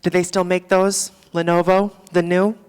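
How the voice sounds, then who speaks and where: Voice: mid-range voice, moderate pace, clear, resonant tone, close to an everyday voice. Performer playing a boozy, world-weary female stand-up comic, echoey hall. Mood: confessional, swaggering. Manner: rambling, deadpan, theatrical, swinging between asides and outbursts. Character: Karen Davis, boozy world-weary self-mocking middle-aged female stand-up comic. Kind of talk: live stand-up monologue in a staged play reading. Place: on stage in a theatre. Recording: reverberant theatre hall, live stage.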